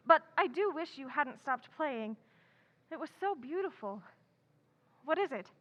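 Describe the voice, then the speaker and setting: Woman acting as a high-pitched, earnest young heroine. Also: animated, unhurried, muffled recording. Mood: flustered, eager. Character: impulsive, earnest, tomboyish young woman writer. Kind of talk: old-time radio drama acting.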